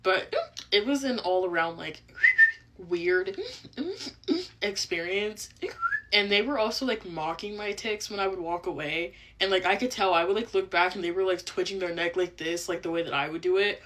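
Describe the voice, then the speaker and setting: A woman's voice: fairly low pitch, moderate pace, fairly bright tone. A young woman telling a casual personal storytime in informal speech that can be broken by vocal tics. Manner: vocal tics interrupting speech with sudden repeated phrases.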